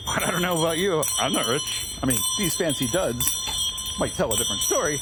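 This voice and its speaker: Higher-voiced American man on noisy outdoor livestream. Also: lively intonation, fairly quick. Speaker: livestream host and organizer of a public reading. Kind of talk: informal livestream address to viewers and a small crowd. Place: outdoors on a town street. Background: loud ambient noise and a ringing bell.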